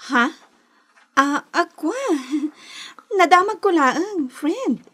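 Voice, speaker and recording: A young Filipino woman of radio melodrama, scheming and possessive. Her voice is very high and moves in lively swoops. She delivers every line as heightened drama. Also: very slow, exaggerated voice. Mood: coy, curious. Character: scheming young woman secretly seeing her best friend's boyfriend. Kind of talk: Ilocano radio drama acting.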